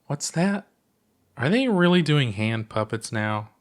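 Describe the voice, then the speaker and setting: A skeptical, curious alien companion, played by a male reader in a storybook tale. The voice is raised in pitch, with a candid, questioning delivery that sounds easily puzzled. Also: very animated, unhurried. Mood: doubtful.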